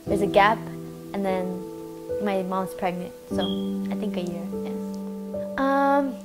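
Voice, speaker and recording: A woman's voice: medium pitch, takes it slowly, rough recording. A young woman from Mexico speaking hesitantly in a documentary interview. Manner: hesitant, with fillers. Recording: loud music behind.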